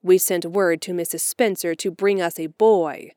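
A woman voicing a stern, practical middle-aged spinster who talks briskly and curtly in a storybook reading. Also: fairly low pitch, fairly even intonation, dark tone, no room sound, studio quality, lightly acted. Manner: brisk, curt, no-nonsense.

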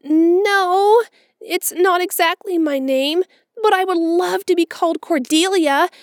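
A woman's voice: very high voice, takes it slowly, no room sound, studio quality, pitched above the performer's own voice, full character voice. A woman voicing an eager, dramatic orphan girl of about eleven, pleading in a breathless, florid way in a storybook reading. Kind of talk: audiobook character voice.

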